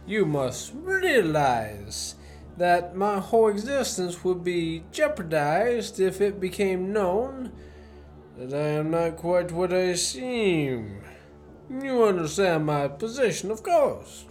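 Guy acting a polite but sinister professor villain in a radio drama, slow delivery, pitch all over the place. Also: very animated, a little mellow, full character voice. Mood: smoothly menacing. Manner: courteous, genteel, theatrically menacing. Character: suave, menacing gentleman professor, a secret spy chief. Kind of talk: student radio play acting.

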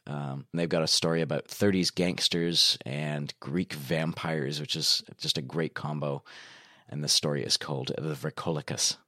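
A man's voice: very deep voice, bright, forceful tone, no echo, clean studio sound. A young Canadian man, a dialogue editor, chatting in an upbeat way in an interview, with many fillers. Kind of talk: casual interview talk.

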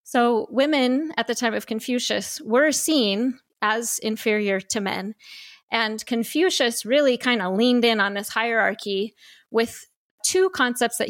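A woman's voice: on the high side, dry recording. Woman podcast host, dry close sound. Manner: methodical, lays out points in numbered lists. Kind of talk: explanatory podcast discussion.

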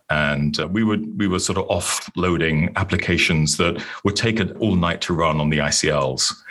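British male guest, 50s, low and breathy, wry and self-deprecating, chatty on a call-in line. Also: fairly bright tone. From United Kingdom. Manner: rambling, self-deprecating, with restarts. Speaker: podcast guest. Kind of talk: casual interview storytelling. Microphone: remote call-in.

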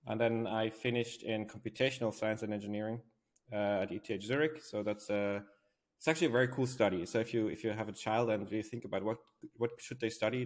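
A man from Switzerland speaking as an interview guest, giving thoughtful, conversational explanations. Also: fairly low pitch. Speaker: podcast guest, robotics company founder.

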